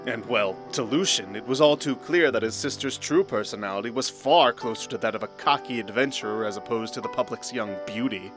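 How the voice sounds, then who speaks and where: Male narrator, medium pitch, scripted storytelling, faint musical backing. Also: brisk, few pauses. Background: faint background music.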